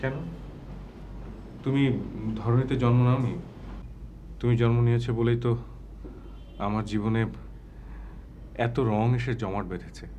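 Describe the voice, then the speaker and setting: A man's voice: mid-range voice, steady tone, slow pace, pauses often, mellow, lo-fi sound, a little hiss or hum, close to an everyday voice. An actor playing a bashful young man in love in a TV drama, who stammers and then turns flowery and poetic. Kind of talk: TV drama acting.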